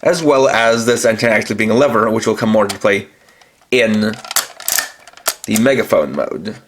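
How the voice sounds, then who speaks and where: A man's voice: on the low side, normal speed, background noise. A man reviewing toys, walking through each feature in a methodical, step-by-step way. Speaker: toy reviewer. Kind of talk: toy review demonstration.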